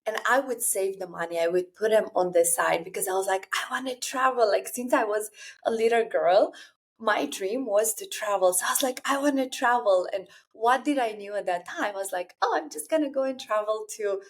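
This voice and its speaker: A woman's voice: medium pitch, brisk. Earnest Romanian-accented woman podcaster. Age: 30s. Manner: earnest, confiding, speaking directly to listeners. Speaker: podcast host. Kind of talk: solo personal podcast monologue.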